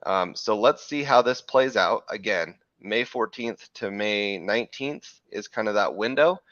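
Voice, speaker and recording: A man hosting a live crypto trading show, talking through chart analysis in a rambling way, correcting himself, with a slightly hoarse voice from losing it. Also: fairly low pitch, expressive, measured pace, fairly bright tone, dry recording, dull audio. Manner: rambling, self-correcting, frequent "right?" checks.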